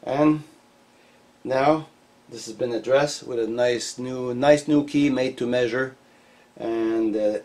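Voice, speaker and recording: A middle-aged man casually explaining shop work as a hobby machinist, in a halting, hands-on way. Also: mid-range voice, a little mellow. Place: cluttered garage machine shop. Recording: noisy workshop ambience.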